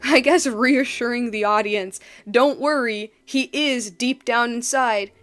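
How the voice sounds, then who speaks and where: High voiced gamer lady rambling casually, slangy, faint music behind her. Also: unhurried, fairly bright tone. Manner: informal, slangy, rambling, emphatic repetition. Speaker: video game streamer. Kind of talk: casual gameplay commentary.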